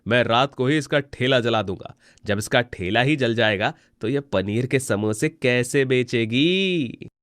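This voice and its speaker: A man's voice: mid-range voice, measured pace, fairly bright tone, smooth, no echo, studio quality, natural voice. A man voicing a spiteful, cowardly village vendor who plots to himself in a storybook tale. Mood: spiteful, plotting.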